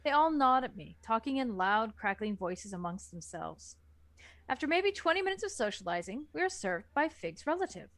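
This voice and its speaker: Casting: female narrator, slightly high voice, dry close recording, reading a scripted first-person tale. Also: sing-song, normal speed, fairly bright tone, no echo.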